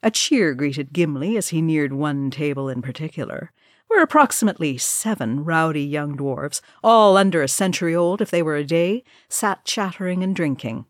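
A woman's voice: sits low, animated, moderate pace, no echo, studio quality. A woman reading scripted fiction aloud as an audiobook narrator. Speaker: audiobook reader.

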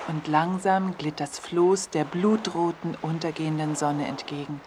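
A woman narrating a scripted children's story expressively, often letting her phrases fall to a hush. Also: low voice, a little slow, noisy background. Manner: expressive storytelling, dropping to hushed phrase endings.

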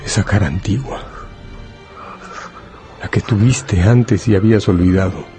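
An actor narrating a literary radio drama as a solemn, brooding, deliberate storyteller. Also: male voice, on the low side, warm tone, soft background music, close to an everyday voice. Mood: solemn, ominous.

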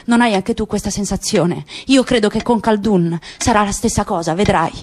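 A woman's voice: medium pitch, moderate pace, a little mellow, slight background noise, natural voice. A woman voicing a bitter, grieving middle-aged father who speaks insistently and rhetorically, for a radio drama.

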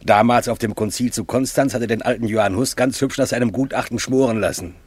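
An actor playing a cynical cleric with a husky voice, speaking in a sneering way. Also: male voice, mid-range voice, fairly even intonation, fast talker, darkish tone, no echo, lightly acted. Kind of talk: radio play acting.

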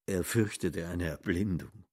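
Man acting as brooding hushed voice in a radio play, low and husky. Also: unhurried, no echo, clean studio sound. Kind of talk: literary radio drama.

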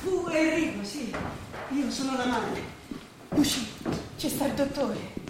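A woman's voice: high-pitched, animated, takes it slowly. An actress playing an anxious, humble mother in a stage play, theatrical in delivery. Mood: anxious, pleading. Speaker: stage actor. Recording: distant echoey stage, loud crowd noise.

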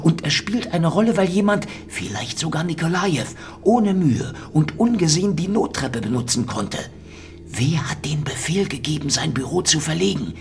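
An actor playing a hardboiled, cynical Soviet secret-police detective, talking in a dry, sardonic, probing way. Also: male voice, fairly high pitch, animated, moderate pace. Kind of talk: radio drama acting.

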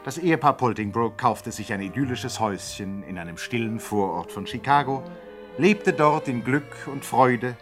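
A man's voice: mid-range voice, lively intonation, normal speed, music in the background. A man narrating a 1960s radio play in a grand, mock-solemn way.

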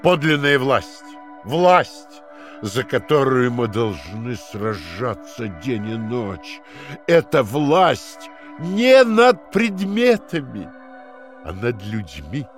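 An actor playing a cruel, domineering party interrogator who lectures theatrically, swinging from hushed to emphatic, in an audio drama. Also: male voice, mid-range voice, very animated, drawn-out words, faint music behind. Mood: cold, menacing. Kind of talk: dramatic audio play dialogue.

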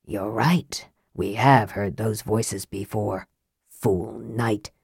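A woman voicing a grim, caustic young male wizard in an audiobook, slow and hushed with bitter deliberation. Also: a bass voice, husky, dry recording, clean studio sound, pitched below the performer's own voice, exaggerated voice. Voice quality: hushed, whispery. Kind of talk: audiobook character acting.

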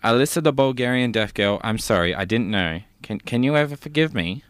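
A man's voice: medium pitch, expressive, brisk, no echo, studio quality. A man in a comedy podcast, playing a flustered character in an improvised sketch and stammering through his lines.

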